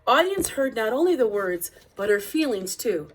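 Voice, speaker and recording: A woman reading a picture book aloud as narrator, with deliberate, expressive phrasing. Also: fairly high pitch, husky. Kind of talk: read-aloud storybook narration.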